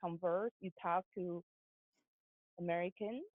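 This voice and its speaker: Woman host, from China, low-pitched, halting questions, tinny dry phone-like sound. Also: dry recording. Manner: halting, restarts her questions. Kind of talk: casual interview questions.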